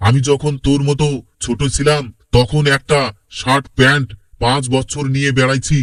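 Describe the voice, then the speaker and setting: A man's voice: mid-range voice, flat delivery, moderate pace, no room sound, natural voice. Man playing a stingy, preachy cartoon father. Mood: dismissive. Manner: indignant, preachy. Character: stingy, hardworking village father, lecturing and grumbling. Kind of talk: amateur cartoon voice acting in Bengali.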